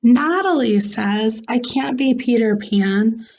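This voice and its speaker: A woman's voice: on the high side, lively intonation, slow pace, a little mellow, lo-fi sound, a little hiss or hum. Woman as little storybook girl, earnest, childlike, eager, imaginative. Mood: hurt.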